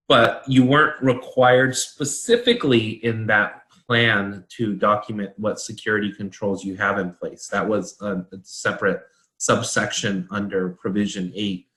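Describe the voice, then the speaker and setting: A man with a fairly low voice talks as a consultant in an informal discussion. He explains details in a measured way, and the room around him is clearly echoey. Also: bright, forceful tone.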